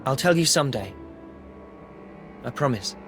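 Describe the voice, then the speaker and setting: An actor playing an easygoing but determined young wanderer in a video game, casual, friendly and earnest. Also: male voice, medium pitch, expressive, moderate pace, natural voice. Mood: earnest, resolute. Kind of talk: video game cutscene acting. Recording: music behind the voice.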